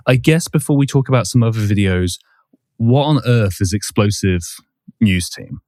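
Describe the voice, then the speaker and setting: This is a man hosting a podcast, his voice a little low and roughened by hoarseness. He keeps things brisk and upbeat as he steers the conversation. The sound is close and dry, with no room echo. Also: animated, darkish tone. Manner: brisk, upbeat host reading intros and steering. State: hoarse from poor sleep. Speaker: podcast host. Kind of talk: casual podcast chat.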